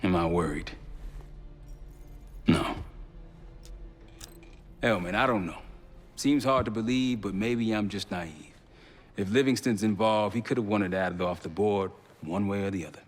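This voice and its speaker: An actor with an American accent playing a streetwise, level-headed intelligence operative in a video game, talking casually. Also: male voice, lowish voice, a little slow, pauses often, long reverb tail, soft background music. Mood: candid. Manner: casual, colloquial. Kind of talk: naturalistic video game dialogue.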